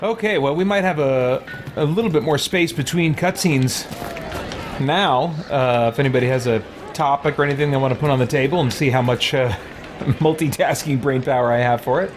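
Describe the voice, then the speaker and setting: A man's voice: mid-range voice, normal speed, music in the background. A man doing live roleplay commentary on a video game, switching between theatrical interjections and hesitant asides. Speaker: game streamer.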